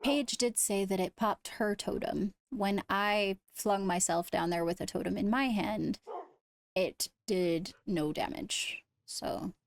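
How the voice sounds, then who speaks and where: Measured woman with a close, dry sound. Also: medium pitch, steady tone, dry recording. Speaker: role-player leading a court meeting. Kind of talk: improvised in-character roleplay talk.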